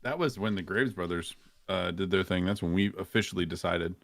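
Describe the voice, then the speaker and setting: A man's voice: deep voice, fairly quick. A man chatting casually and jokingly as a podcast guest.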